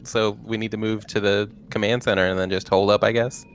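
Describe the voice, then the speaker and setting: A man's voice: fairly low pitch, level tone, brisk, a little hiss or hum. A man playing a tabletop game in casual chat, hesitantly thinking aloud.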